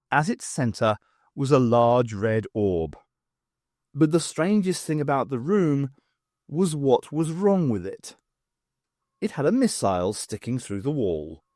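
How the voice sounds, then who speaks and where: A man reading an audiobook as narrator in a steady storytelling manner. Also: mid-range voice, no echo, clean studio sound. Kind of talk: scripted narration.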